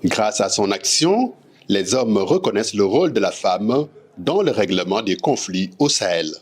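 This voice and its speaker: Husky low-voiced male news reporter. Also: big pitch swings, moderate pace. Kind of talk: scripted news report narration.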